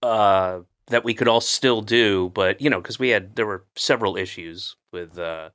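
A man's voice: fairly low pitch, normal speed, fairly bright tone, no room sound, studio quality. A man in his late fifties hosting a podcast, chatting casually and rambling with self-deprecating asides and restarts. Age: 50s. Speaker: podcast host. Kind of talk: casual chat.

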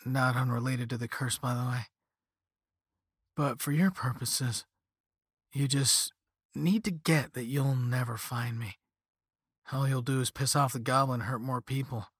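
A man's voice: mid-range voice, steady tone, unhurried, long pauses, a bit bright, breathy edge, dry recording, studio quality. A man voicing a flippant, easygoing young rich slacker in a story, with a casual slangy drawl and sarcastic half-apologies. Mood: mildly offended. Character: flippant, laid-back young rich slacker on a phone call.

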